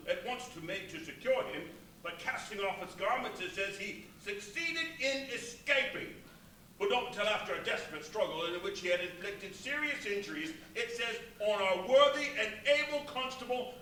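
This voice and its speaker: A man playing a chatty, gullible working-class mariner, animated and rambling in a live radio play. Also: very high voice, full character voice. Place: live stage performance in a brewery venue. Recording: echoey, noisy live venue, far from mic.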